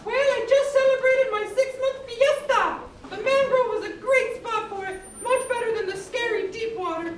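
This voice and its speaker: Baby bat ray: squeakily high, childlike, eager, puppet-show delivery in a very echoey space. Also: female voice, normal speed, hardly any pauses. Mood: cheerful. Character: friendly, timid six-month-old baby bat ray named Rodrigo. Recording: very echoey, distant, noisy live recording.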